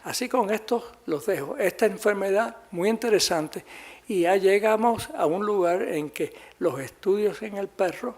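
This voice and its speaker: High, husky researcher explaining genetics. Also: male voice, normal speed, darkish tone. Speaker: researcher speaker. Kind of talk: conference lecture.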